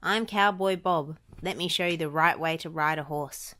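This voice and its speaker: A woman's voice: low voice, moderate pace, a bit bright. A friendly male ranch owner acted out by a woman reader in a children's storybook. He is folksy and encouraging. His delivery is that of a helpful cowboy teacher. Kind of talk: children's storybook character reading.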